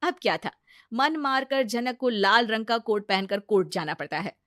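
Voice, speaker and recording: A woman's voice: fairly high pitch, moderate pace, fairly bright tone, no echo, studio quality. A woman narrating a scripted family story as its storyteller.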